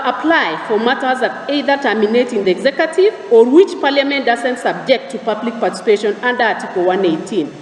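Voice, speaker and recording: A woman with a Kenyan accent and a fairly high voice addresses a formal forum. She speaks in a measured, orderly way, as if from a prepared text. The sound is distant and very reverberant, with some faint background noise. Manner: measured, formal, enumerating points. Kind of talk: formal prepared public speech.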